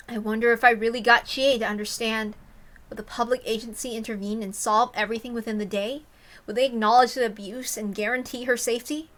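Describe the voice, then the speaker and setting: A woman's voice: fairly high pitch, a bit bright. A woman reading a story aloud expressively, with casual commentary of her own. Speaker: reader and commentator.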